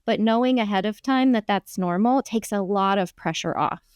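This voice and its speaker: A woman's voice: mid-range voice, normal speed, smooth, no echo, clean studio sound. A woman who is a marketing coach, speaking as a podcast guest in a friendly, reassuring, explanatory way. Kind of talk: conversational podcast interview.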